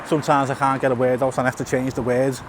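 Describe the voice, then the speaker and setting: A man's voice: mid-range voice, flat delivery, quick pace, noisy background. Man with a lisp and stutter. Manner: stutters, repeats and restarts words, fillers. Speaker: YouTuber. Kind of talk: casual walk-and-talk vlog. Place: outdoors in a town, by a tunnel.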